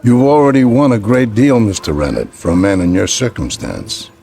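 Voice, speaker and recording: An old street peddler in a vintage-style radio thriller. His low voice moves expressively through cryptic, gently regretful lines, with a fry at the edges. He speaks deliberately and softly. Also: animated, faint music behind. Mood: cryptic, calm. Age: older.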